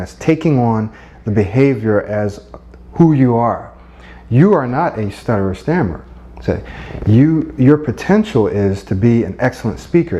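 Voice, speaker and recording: Middle-aged guy coaching, earnest and encouraging, kind of dark mellow voice, average pitch. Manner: earnest and encouraging, frequent rhetorical "right?". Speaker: speech coach. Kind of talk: instructional talk.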